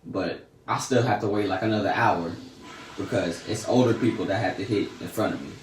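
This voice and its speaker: A teenage boy casually telling a story about his day in slangy, laid-back talk that often trails off soft and quiet. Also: lowish voice, brisk, far from the mic. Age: teens. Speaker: vlogger. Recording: echoey room, a bit off-mic, faint noise.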